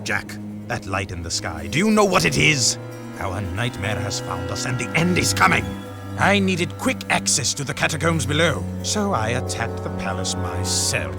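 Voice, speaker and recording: An actor playing a pompous, zealous older count, a self-righteous video game villain with a theatrical, condescending delivery. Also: male voice, mid-range voice.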